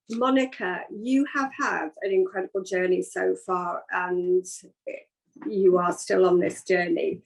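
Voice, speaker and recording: A woman's voice: mid-range voice, gravelly, poor audio. A woman hosting a live online interview, giving upbeat, effusive introductions over a video call. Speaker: host.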